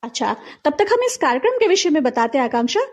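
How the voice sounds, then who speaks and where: Female talk show host, high register, casual and conversational, addresses co-host and audience. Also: sing-song, quick pace, darkish tone, highs cut off. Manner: chatty, addresses co-host and listeners directly. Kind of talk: casual live online talk show hosting.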